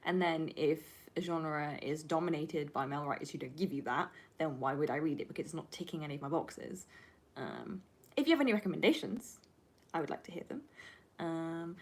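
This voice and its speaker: A woman in her twenties with a slightly low voice talks casually to a livestream. Her first language is Flemish Dutch. She rambles candidly, swearing and stressing her points as she goes. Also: brisk, breathy edge. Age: 20s. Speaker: livestreamer and film student. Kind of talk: casual livestream chat answering viewer questions.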